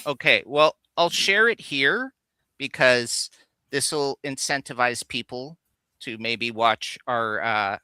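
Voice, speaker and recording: A man's voice: mid-range voice, slow pace, sharp tone, clean tone, dry recording. A man co-hosting a casual geek livestream, rambling enthusiastically as he shows things off. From Canada. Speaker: co-host of a geek culture livestream. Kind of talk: casual chat, showing off finds.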